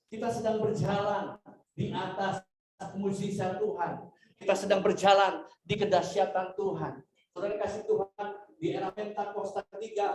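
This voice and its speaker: High-pitched man preaching, echoey and distant. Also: a little mellow. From Indonesia. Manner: exhortative, repeats phrases and calls for amens. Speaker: guest preacher, pastor. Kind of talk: charismatic church sermon. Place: church service room, before a congregation. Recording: echoey room, distant microphone.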